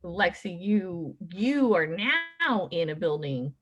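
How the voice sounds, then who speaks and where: A woman in her late thirties hosting a podcast, talking in a chatty, animated way with many fillers. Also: lowish voice, takes it slowly, lo-fi sound. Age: late 30s. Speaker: podcast host. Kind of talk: casual chat.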